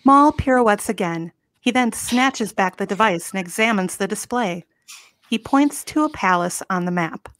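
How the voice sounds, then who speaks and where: A woman's voice: mid-range voice, animated, moderate pace, a bit bright, no echo. A woman reading scripted stage directions as narrator of a comedy table read. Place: home room. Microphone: home video call.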